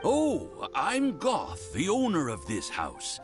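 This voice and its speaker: An actor playing an eager, fawning landlord in a video game who gets flustered and laughs nervously. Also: male voice, a little high, wildly swooping pitch, slow pace, over music, exaggerated voice. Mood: pleased. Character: eager, fawning male landlord, middle-aged.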